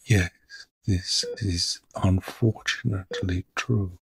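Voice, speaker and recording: A man's voice: deep voice, steady tone, takes it slowly, gravelly, a bit bright, breathy edge, no echo, full character voice. A man playing a frail, dying duke in an audio drama, courteous, grave and intent on his quest. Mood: courteous, weary.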